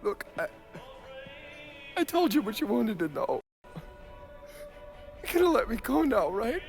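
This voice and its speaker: A man's voice: extremely high pitch, very animated, measured pace, music in the background, exaggerated voice. A man acting a cowardly gangster under interrogation in a crime game, desperate, profane and stammering. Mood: scared, confessing. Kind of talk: video game cutscene acting.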